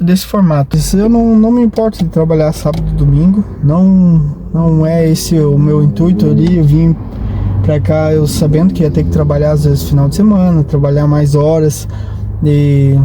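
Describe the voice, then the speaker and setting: A man, vlogging casually in a rambling, hesitant way full of restarts and tag questions. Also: on the high side. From Brazil. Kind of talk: casual vlog talk. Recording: noisy, with traffic behind.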